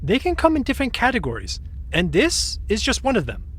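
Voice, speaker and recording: A young woman in an audio drama, with a slightly husky voice and animated intonation. She is easygoing and a little sheepish, explaining odd spirit lore as if it were plain fact, with a teasing edge. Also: on the high side, brisk, exaggerated voice. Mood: explanatory.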